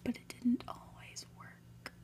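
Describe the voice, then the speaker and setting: A woman reading a story aloud for ASMR in a soft, breathy whisper, hushed and deliberate, with pauses. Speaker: host reading a book aloud.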